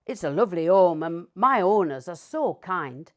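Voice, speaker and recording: A woman's voice: lowish voice, expressive, moderate pace, natural voice. A woman voicing a friendly, grateful rescue dog in a read-aloud story.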